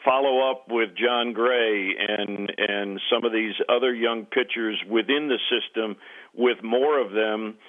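A man's voice: fairly low pitch, steady tone, unhurried. Tinny phone-line man talking sports analysis. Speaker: radio play-by-play broadcaster, interview guest.

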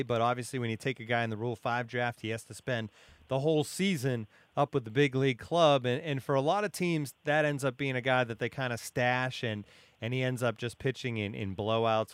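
A man's voice: medium pitch, fairly even intonation, a little fast, clear, resonant tone, no room sound. A man hosting a sports podcast, talking through baseball in a conversational way.

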